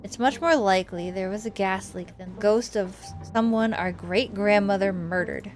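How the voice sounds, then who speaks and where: A woman's voice: medium pitch, moderate pace, faint music behind, natural voice. A woman voicing a prickly, exasperated female cousin in a visual novel game, scolding and sarcastic. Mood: dismissive, irritated.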